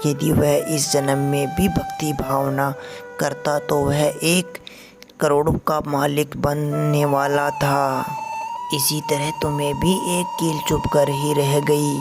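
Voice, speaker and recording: A woman voicing a wise, solemn eagle guru who preaches patiently in an animated moral story. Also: deep voice. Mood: solemn, instructive. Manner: measured, preaching. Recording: music behind the voice.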